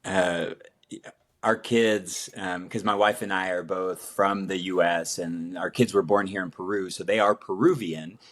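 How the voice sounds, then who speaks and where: A man in his thirties who grew up in Italy speaks as a podcast guest. His voice sits on the low side, and he recounts his stories thoughtfully, with easy fillers along the way. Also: fairly even intonation, unhurried, a bit bright. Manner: reflective storytelling with fillers. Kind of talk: casual conversational interview.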